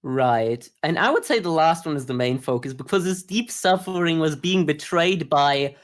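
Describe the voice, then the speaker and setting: A man's voice: a little high, expressive, normal speed. A man co-hosting a podcast, giving casual, wry criticism in a discussion of anime. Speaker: podcast co-host.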